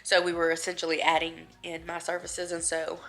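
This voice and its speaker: A woman talks to her camera at home, thick and nasal from a cold. Her voice sits a little low yet has a forceful, bright edge. She rambles chattily and laughs between thoughts. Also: completely flat delivery, fairly quick. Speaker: vlogger. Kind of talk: casual chat to camera.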